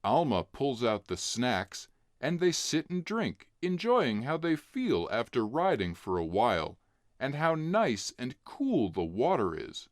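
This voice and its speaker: Man, children's story narration, expressive, hugely varied pitch, slow pace. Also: no room sound, clean studio sound. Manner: expressive, clearly acting out dialogue. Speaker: narrator reading a children's book.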